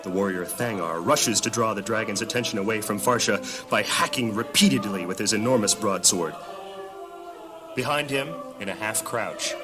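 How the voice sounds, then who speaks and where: A man's voice: lowish voice, lightly acted. A man performing as a grave storyteller, giving dramatic scripted narration of a fantasy audio drama.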